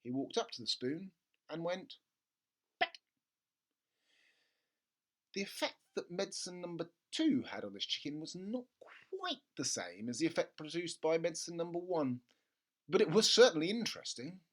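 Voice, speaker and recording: A storyteller reading a children's book aloud in an expressive narrating style. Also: mid-range voice, normal speed. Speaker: storybook reader.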